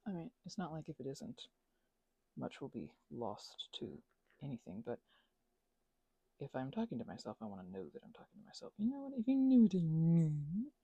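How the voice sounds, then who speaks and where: A woman rambling casually as she thinks aloud over a craft, halting and murmuring to herself, with playful asides to listeners. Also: sits low, sing-song, creaky, mellow, slightly rough, no room sound, poor audio. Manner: halting, drifts into murmurs and self-talk. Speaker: ASMR and audio creator.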